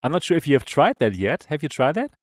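A man's voice: on the high side, sing-song, quick pace, no echo. A man with a German accent co-hosting a podcast from his apartment, chatting casually with frequent laughs and fillers. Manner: laughs often, many fillers and short agreements. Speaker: podcast co-host. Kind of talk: casual tech chat on a live podcast. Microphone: headset mic in a VR device.

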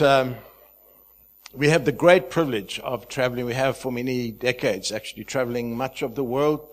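A man in his fifties from Zimbabwe, a pastor speaking to a church, chatty and halting, with many fillers and restarts. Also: mid-range voice. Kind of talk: sermon introduction.

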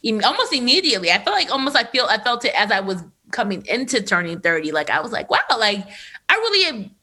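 A woman in her thirties, a podcast host, telling a candid personal story in a loose, animated way with lots of fillers. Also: fairly high pitch, quick pace. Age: 30s. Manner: animated, filler-heavy, laughs often. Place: Los Angeles area.

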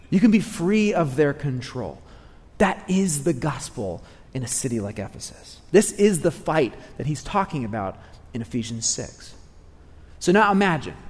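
A man's voice: high-pitched, in a large hall. A man preaching a sermon, earnest and repeating phrases for emphasis.

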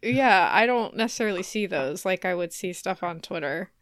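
A woman's voice: on the low side, expressive, moderate pace, dry recording. A woman in her thirties co-hosting a casual comedy podcast with dry, sarcastic humor. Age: 30s. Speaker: podcast co-host.

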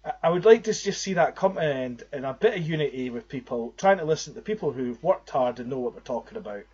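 A man's voice: mid-range voice, a little mellow, breathy edge. A young man co-hosting a podcast, talking in an earnest, rambling way. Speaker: podcast co-host. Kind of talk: casual podcast chat. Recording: slightly muffled lo-fi audio.

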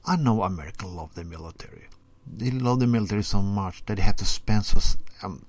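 A man whose first language is Norwegian gives a sarcastic commentary segment in deadpan tones, with halting non-native phrasing. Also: fairly low pitch, no room sound. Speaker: podcast segment presenter.

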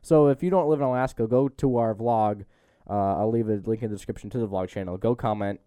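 A man's voice: on the low side, fast, mellow, smooth, no room sound. A young man hosting a podcast in casual, joking chat. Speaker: podcast host.

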